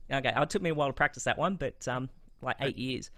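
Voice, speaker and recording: A man's voice: mid-range voice, fairly quick, sharp tone, slight background noise. A man hosting an interview over a video call, upbeat and friendly, with many fillers. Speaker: host.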